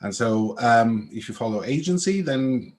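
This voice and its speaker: A man's voice: mid-range voice, animated, unhurried, darkish tone. A man from Switzerland with a Swiss accent, speaking as an agile coach on an online panel, explaining ideas thoughtfully. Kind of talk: panel discussion. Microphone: video call.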